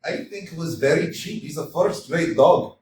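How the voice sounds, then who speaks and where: A man's voice: on the high side, normal speed, a little mellow, a little hiss or hum, natural voice. A man reading a fretful hypochondriac suitor. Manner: fretful, complaining, flustered. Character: anxious hypochondriac young male landowner, quarrelsome. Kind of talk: staged play reading. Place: small theatre stage. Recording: echoey hall from far off.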